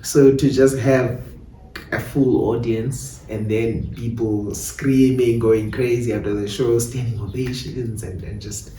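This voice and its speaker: South African man, mellow, in a roomy space. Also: mid-range voice, fairly even intonation, slow pace. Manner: switches between English and Xhosa. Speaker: playwright and director being interviewed. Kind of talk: reflective interview talk. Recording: roomy, distant mic, faint background noise.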